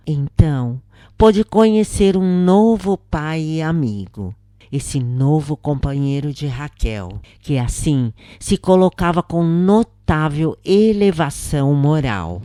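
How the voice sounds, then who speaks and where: A woman with a Brazilian accent reading a scripted first-person spiritual account in a solemn, measured manner, with deliberate pauses. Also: deep voice, warm tone, no room sound, studio quality.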